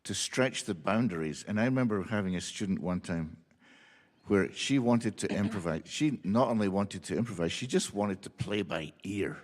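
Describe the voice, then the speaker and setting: Man with a low voice, keen storyteller, panel talk, long hall reverb. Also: lively intonation, moderate pace. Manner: enthusiastic storyteller. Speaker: panelist, performer and teacher. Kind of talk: panel discussion answers. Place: symposium hall.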